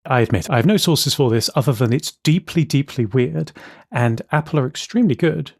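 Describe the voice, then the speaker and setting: A man's voice: medium pitch, lively intonation, a little fast, gravelly, a little mellow, breathy edge, dry recording, studio quality. A man co-hosting a podcast in casual tech chat, opinionated and emphatic, often repeating words for stress. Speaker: podcast co-host.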